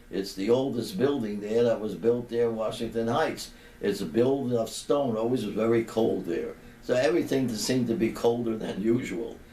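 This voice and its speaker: A man over eighty, a rabbi reminiscing in a deliberate, halting way with pauses between phrases. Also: fairly low pitch, dark tone. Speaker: rabbi giving a personal remembrance. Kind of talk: unhurried reminiscing tribute talk. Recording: roomy, distant microphone, background noise.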